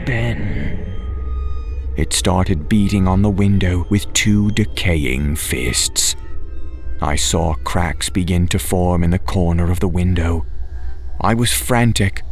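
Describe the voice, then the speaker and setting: A man with a low-pitched voice reads a horror story as an audiobook narrator. His delivery is unhurried, measured and dramatic, over clearly audible background music. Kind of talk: scripted first-person horror narration.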